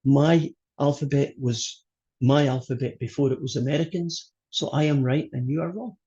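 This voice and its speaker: A man's voice: mid-range voice, moderate pace, mellow, slightly rough, muffled recording. A Scottish man, a college math instructor, introducing his course over a video call in a chatty, joking way. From Scotland. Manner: chatty, joking, loose with fillers. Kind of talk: online class introduction.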